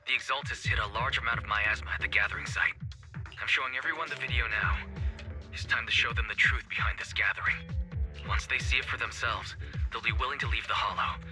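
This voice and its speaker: An actor voicing a calm young male ally who speaks steadily and reassuringly. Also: mid-range voice, fast, music in the background, close to an everyday voice.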